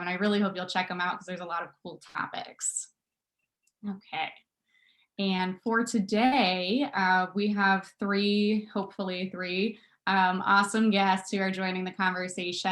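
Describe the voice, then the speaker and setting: A woman opens and steers an online panel discussion in a somewhat low voice. She talks casually, with plenty of ums, and corrects herself as she goes. The sound is that of a video call. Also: a bit bright. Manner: casual, many "um" fillers, self-correcting. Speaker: talk show host.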